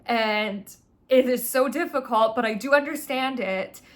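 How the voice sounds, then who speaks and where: A woman's voice: fairly high pitch, a little slow. A woman giving an unscripted spiritual monologue, earnest and emphatic, often repeating phrases to stress them. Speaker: spiritual content creator.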